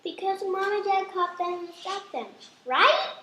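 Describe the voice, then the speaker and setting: A woman's voice: extremely high pitch, sing-song, unhurried, a loud crowd, full character voice. A woman playing a naive, earnest young girl in a radio play. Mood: innocent. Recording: echoey room, faint noise.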